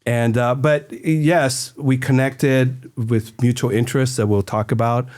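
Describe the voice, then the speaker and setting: A man's voice: medium pitch, steady tone, a little slow, a little mellow. A man co-hosting a podcast, talking casually with frequent fillers. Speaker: podcast co-host. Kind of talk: interview conversation.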